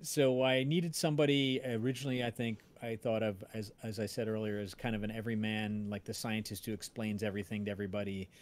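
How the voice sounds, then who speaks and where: A man hosting a casual livestream chat, talking in a halting way as he searches for words. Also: fairly low pitch, flat delivery, a little hiss or hum. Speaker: host of a cast and crew livestream, audio drama writer.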